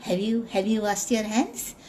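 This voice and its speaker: A woman's voice: mid-range voice, lively intonation, normal speed, darkish tone, a little hiss or hum, natural voice. A woman voicing a small, nervous male city mouse who stammers and pleads through many fillers. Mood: pleading.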